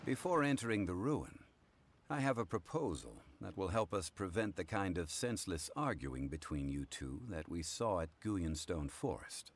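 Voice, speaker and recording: Very deep, dignified scholarly man. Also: big pitch swings, slight background noise. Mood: composed, formal. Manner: formal, measured, unhurried. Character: calm, dignified, scholarly male consultant and negotiator. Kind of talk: anime game voice acting. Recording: music behind the voice.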